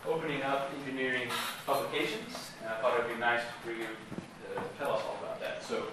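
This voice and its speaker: A man hosting a seminar gives an informal introduction in a halting way, stumbling over his words. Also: mid-range voice. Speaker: seminar host introducing a speaker. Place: university seminar room. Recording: echoey room, far from mic, loud noise.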